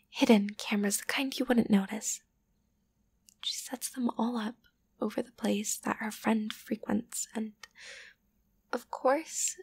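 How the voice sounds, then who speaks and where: Casting: woman to play obsessive yandere best friend, ASMR roleplay. High-pitched, breathy, whisper-prone, sweet but unhinged, intimate delivery. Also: no echo. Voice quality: breathy, often dropping to a whisper. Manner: halting, intimate, wavering between tender and agitated. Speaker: voice actor.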